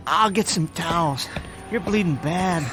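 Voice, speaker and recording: A man's voice: on the high side, unhurried, close to an everyday voice. A man acting in a radio drama as an anxious, self-pitying younger brother and small-time gambler, agitated and slangy. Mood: alarmed, concerned.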